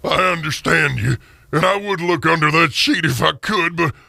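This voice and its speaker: A man playing a frightened old miser, halting and stammering as he pleads, in radio theater. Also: fairly high pitch, sharp tone, no echo, clean studio sound, voice pushed up, exaggerated voice. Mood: fearful pleading. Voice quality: very gravelly, strained.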